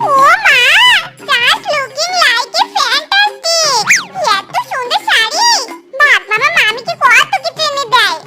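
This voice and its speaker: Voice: extremely high pitch, expressive, takes it slowly, full character voice. A performer voicing a gushing, envious younger fox wife in a comic cartoon, sweet-talking with exclamations. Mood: envious admiring. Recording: children's cartoon music behind the voice.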